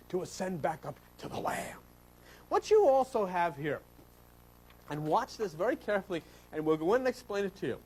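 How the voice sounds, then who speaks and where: A man giving an animated esoteric religious lecture, speaking emphatically with repetition and rhetorical questions. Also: on the high side, husky, poor audio. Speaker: spiritual lecturer.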